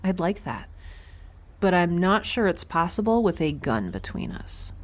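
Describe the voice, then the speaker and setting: An actress playing a defiant, wry young woman aboard a spaceship in an audio drama, with a sarcastic, halting, conversational manner. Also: on the low side, big pitch swings. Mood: hurt, challenging.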